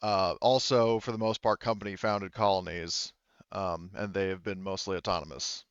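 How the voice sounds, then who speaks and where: Man, game master, lowish pitch, smooth tone, explanatory, hesitant phrasing, dry but dull lo-fi sound. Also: fairly even intonation, normal speed, dry recording. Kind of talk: improvised tabletop game narration and explanation.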